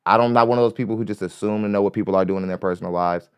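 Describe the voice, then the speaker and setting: Male podcast host, light-voiced, rambling and profane, smooth tone, close dry mic. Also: on the low side, fairly even intonation, rapid-fire, no room sound. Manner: rambling, repeats himself, curses freely. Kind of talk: casual commentary on a clip. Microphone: studio mic.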